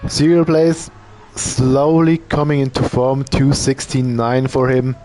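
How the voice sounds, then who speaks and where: A man commentates an online endurance race in a loose, easygoing way, stopping to think as he goes. He speaks slowly at a middling pitch. There is a faint hiss of noise behind him. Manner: relaxed, thinking aloud, with fillers. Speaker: sim racing commentator.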